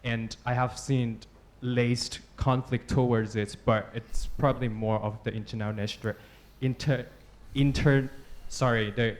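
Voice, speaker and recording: Thai-accented man, halting audience question, full of fillers, mid pitch. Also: steady tone. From southern Thailand. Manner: hesitant, halting, many fillers and apologies. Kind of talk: press club Q&A question. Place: press club panel room.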